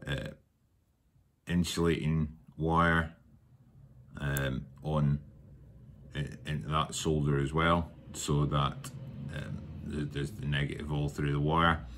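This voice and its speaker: A man's voice: very deep voice, flat delivery, a bit bright. A man giving an informal hands-on tutorial, hesitant and thinking aloud as he works. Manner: unhurried, hesitant, thinking aloud while working. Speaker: hobbyist presenter of a workshop how-to. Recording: noticeable background noise while working.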